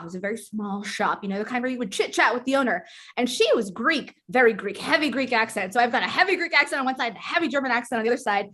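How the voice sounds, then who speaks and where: A woman's voice: a little high, sharp tone. A woman guest-hosting a trivia game show over a video call, chatty, giggly and self-deprecating.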